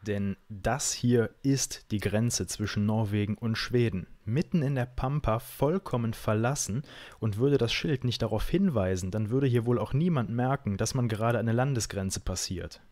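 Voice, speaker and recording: A man's voice: lowish voice, normal speed, a little mellow. A man from Germany, narrating a casual travel documentary as a vlogger.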